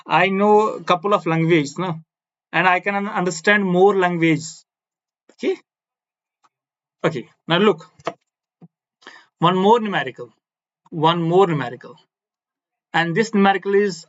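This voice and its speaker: A high-pitched male physics teacher whose first language is Kashmiri, explaining step by step in simple English. He repeats himself for emphasis and keeps asking "no?" to check that students follow. The recording sounds slightly muffled and lo-fi. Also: a bit bright. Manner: repeats key phrases, asks "no?" for agreement. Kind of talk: blackboard physics lecture.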